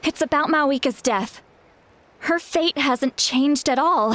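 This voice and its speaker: Young woman seer for a game, earnest and urgent, high-pitched. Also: unhurried, background noise. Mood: worried. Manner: urgent, explaining, hesitant asides. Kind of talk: English video game voice acting. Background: faint game music.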